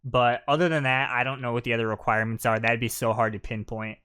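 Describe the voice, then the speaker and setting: A man's voice: mid-range voice, little pitch movement, fast, sharp tone, clean tone. A man giving casual, jokey live commentary as the host of a gaming video.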